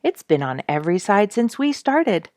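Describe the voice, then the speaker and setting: A woman's voice: medium pitch, very animated, normal speed, darkish tone, no echo, studio quality, pitched above the performer's own voice, lightly acted. A woman reading as a wry soldier at sea. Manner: wry, musing letter reading. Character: male soldier writing home from a troopship, good-humored.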